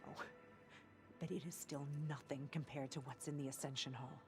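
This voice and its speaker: A woman's voice: very deep voice, steady tone, moderate pace, music in the background. A woman playing an earnest, devout cult convert in a video game, a former marine who speaks reverently and a little hesitantly. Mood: proud, devout. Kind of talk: video game cutscene acting.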